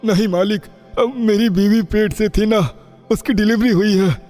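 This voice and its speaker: Poor labourer husband, acted by a man: anxious, pleading, very high dark voice, animated story. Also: normal speed, close to an everyday voice. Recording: long reverb, music underneath.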